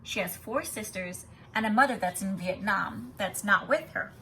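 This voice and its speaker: A woman with a bright voice reads aloud as a kindergarten teacher. Her storytelling is emphatic and instructive, made for young children. There is faint noise in the background. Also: medium pitch, moderate pace. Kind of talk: expressive children's read-aloud with explanations.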